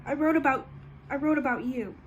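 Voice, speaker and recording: A woman acting a grieving schoolgirl who misses her friend, halting and emphatic, in a stage monologue. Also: high-pitched, lo-fi sound, a little hiss or hum, natural voice. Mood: upset, halting. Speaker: stage actor. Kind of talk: theatrical letter monologue.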